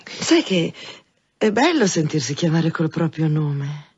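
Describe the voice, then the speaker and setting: An actress playing a sultry, teasing, proud brothel madam in a radio drama. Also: female voice, sits low, big pitch swings, slow pace, darkish tone, husky, no echo, exaggerated voice. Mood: intimate, wry. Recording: dull band-limited radio drama with music.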